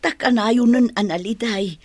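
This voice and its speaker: A woman's voice: a little high, moderate pace, natural voice. A woman playing a weary, worried mother grieving for her child in an audio drama, sorrowful in manner. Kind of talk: Ilocano audio drama acting.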